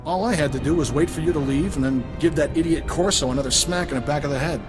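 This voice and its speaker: An actor playing a smug rival villain in a video game, sardonic and mock-civil. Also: male voice, on the high side, fast talker, over music, close to an everyday voice. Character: smug, taunting male rival smuggler villain. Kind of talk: video game character acting.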